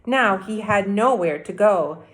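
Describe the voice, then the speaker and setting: A middle-aged woman teacher reads a children's story aloud. Her delivery is unhurried and highly animated, with dramatic phrasing and explanatory asides to the children. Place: small room at home, by a window.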